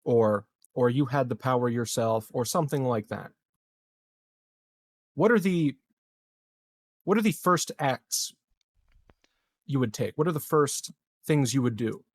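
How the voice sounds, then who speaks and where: A man takes part in a tabletop game, speaking casually and working out his words as he goes. His pitch is average and the sound is very dry. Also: animated, a little slow, pauses often, no room sound. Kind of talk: casual roleplay chat.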